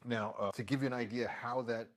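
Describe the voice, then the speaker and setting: A man presenting an informal software demo as an engineer, with a halting, hesitant delivery. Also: medium pitch, steady tone. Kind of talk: informal tech demo walkthrough.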